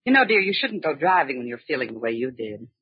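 A woman's voice: rumbling low, very animated, fast talker, breathy edge, dry recording, full character voice. A woman with an American accent playing a composed, dryly teasing wife in an old radio drama. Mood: dryly reproachful. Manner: dry, composed. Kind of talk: 1940s radio drama acting. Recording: muffled old radio recording.

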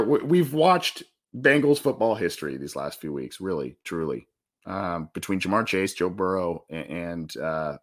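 A man hosting a sports podcast, hoarse with a scratchy throat, walking through a box score with halting restarts and excited spikes on big numbers. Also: sits low. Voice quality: raspy. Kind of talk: postgame stat breakdown.

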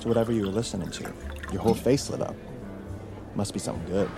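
A man's voice: fairly low pitch, fast talker, close to an everyday voice. An actor playing a friendly, coaxing male server in an audio drama, chatty and teasing. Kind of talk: naturalistic audio drama acting. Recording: music behind.

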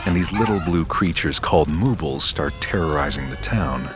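A man's voice: very deep voice, animated, moderate pace. An actor playing a man who narrates his memory of a scary childhood movie night in an audio drama. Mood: reflective. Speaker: narrator of a remembered story. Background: music.

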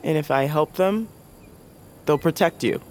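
An actor playing a world-weary, fatalistic wanderer in an audio drama, speaking tersely in archaic, poetic phrases. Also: male voice, a little high, a little slow, slight background noise, lightly acted. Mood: curt, resigned. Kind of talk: audio fiction drama acting. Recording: dramatic music underneath.

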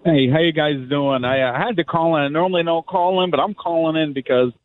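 A man's voice: on the high side, a little fast. A middle-aged man calling a radio show and telling a casual personal story. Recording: tinny narrow phone line.

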